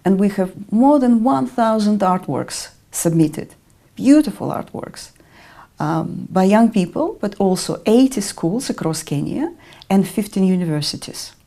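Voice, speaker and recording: Measured Russian-accented woman, mellow voice. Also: mid-range voice, expressive. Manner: measured, with frequent ums and restarts. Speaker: interview guest, artist and educator. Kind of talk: interview answers.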